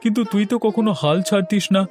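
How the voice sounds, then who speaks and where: A man's voice: high voice, fast, music in the background, natural voice. A man voicing a hopeful, encouraging village fisherman friend in a cartoon story.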